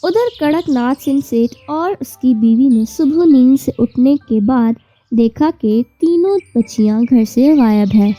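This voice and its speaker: Mellow, high-voiced woman storyteller. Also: expressive, measured pace, faint music behind. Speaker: narrator. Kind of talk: scripted children's story narration.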